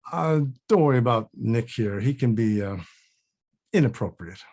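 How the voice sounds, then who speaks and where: Casting: sheepish, defensive male in a comedy read, acted by a man, lively pitch, rough-edged voice. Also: moderate pace. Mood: awkward, defensive. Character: anxious, awkward adult male actor, defensive and sheepish. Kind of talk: comedy script table read.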